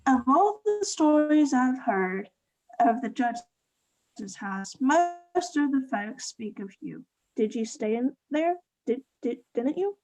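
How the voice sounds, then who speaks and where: A woman's voice: high voice, sing-song, slow, darkish tone, heavily acted, poor audio. A woman acting in a radio play as a kindly, fretful innkeeper, polite and earnest. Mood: anxious, curious.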